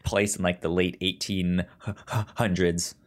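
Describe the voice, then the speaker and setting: Guy cohosting a podcast, kinda slow talker, stumbles and repeats words a lot, casual review chat. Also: low voice, level tone. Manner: halting, stammering, repeats words and syllables. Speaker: podcast co-host.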